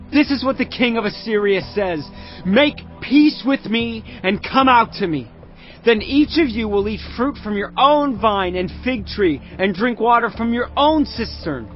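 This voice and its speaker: A boastful, mocking Assyrian commander. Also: male voice, high voice. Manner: boastful, mocking, declaiming loudly. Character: arrogant Assyrian field commander taunting a city. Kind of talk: dramatized audio Bible acting. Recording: muffled lo-fi audio under music. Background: cinematic music.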